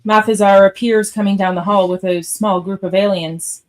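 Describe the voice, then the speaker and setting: A woman's voice: medium pitch, fairly even intonation, moderate pace. A woman reading stage directions as the narrator of a live radio theatre script read.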